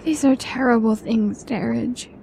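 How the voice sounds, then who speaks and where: An actress playing an anxious, questioning traveler in a fantasy radio drama, with formal, earnest phrasing. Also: female voice, on the high side, steady tone, unhurried, vocal fry, mellow, a little hiss or hum. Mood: troubled, questioning.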